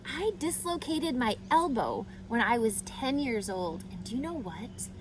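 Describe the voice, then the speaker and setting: Slow, expressive woman reading to children. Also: on the high side, background noise. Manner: expressive, deliberate, addresses child listeners directly. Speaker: read-aloud storyteller for children. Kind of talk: children's picture book read-aloud with questions to listeners.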